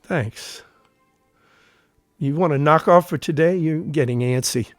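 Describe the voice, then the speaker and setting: An actor playing a nervous, guarded army veteran who works as a painter, speaking tersely and reluctantly in an audio drama. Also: male voice, a little high, a little slow, no room sound, faint music behind, close to an everyday voice. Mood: guarded, curt.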